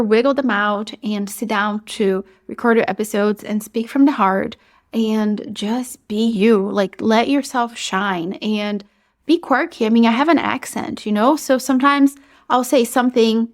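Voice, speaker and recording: Chatty Brazilian-accented woman podcaster. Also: fairly high pitch. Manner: chatty, upbeat, many "like"s. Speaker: podcast host. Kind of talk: solo podcast advice talk.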